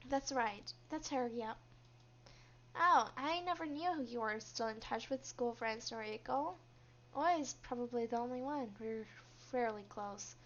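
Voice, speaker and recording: Woman reading as a bright-voiced, reserved young woman. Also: a little high, unhurried. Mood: reserved, polite. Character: reserved, guarded young female office worker, narrating inwardly. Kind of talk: visual novel read-aloud narration. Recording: dull, lo-fi microphone.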